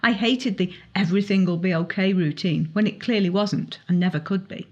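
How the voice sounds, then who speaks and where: A woman's voice: mid-range voice, a little fast, dull audio, natural voice. A woman voicing a blunt, wry older teenage boy in a story, casual, teasing and frank.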